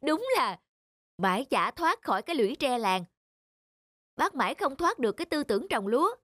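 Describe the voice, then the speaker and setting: A man voicing a brash, spendthrift younger brother in an animated cartoon, middle-aged, scoffing and whiny. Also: very high-pitched, normal speed, no room sound, studio quality, heavily acted. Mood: scornful, boastful.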